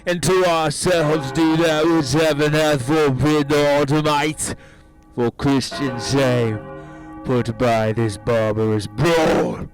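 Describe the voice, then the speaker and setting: A commanding, outraged general in a verse tragedy. He projects loudly and slowly at a medium pitch, stern and theatrical. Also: over music, rough recording. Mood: thunderous outrage. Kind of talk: theatrical Shakespearean acting.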